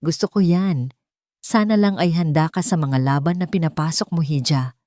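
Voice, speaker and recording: A woman's voice: low voice, moderate pace, warm tone, dry recording, clean studio sound, close to an everyday voice. A woman with a Filipino accent voicing a smug older male tycoon villain in an audiobook, falsely polite and menacing. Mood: veiled threat. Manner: falsely polite, veiled threats.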